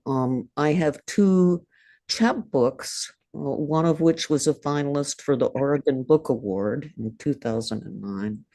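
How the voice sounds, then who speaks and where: An older woman reading her own poem over a video call, soft and halting. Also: extremely low pitch, dry recording. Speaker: poet reading her work. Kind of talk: poetry reading and casual chat.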